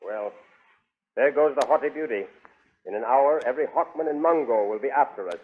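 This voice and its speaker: A man's voice: medium pitch, fairly quick, natural voice. Old tinny radio drama, man playing a grim fatalistic prince, loyal sidekick type, bit of noise. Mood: apprehensive. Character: grave, fatalistic prince, loyal companion of heroes. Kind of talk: 1930s radio serial acting. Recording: tinny, noisy 1930s radio recording.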